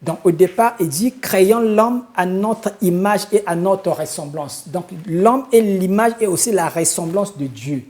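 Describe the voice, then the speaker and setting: A man from Congo-Brazzaville, a spiritual leader answering a studio interview in a solemn, preaching style, pausing often on hesitant fillers. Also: high voice, a little mellow.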